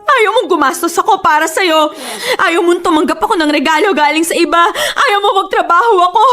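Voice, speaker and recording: An actress playing a betrayed wife in a radio drama, tearful, accusing, hurt and defiant. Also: female voice, very high-pitched, a little fast, bright, forceful tone. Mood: bitter, tearful. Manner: tearful, accusing, emotional outbursts. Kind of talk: Tagalog radio drama acting. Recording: music behind the voice.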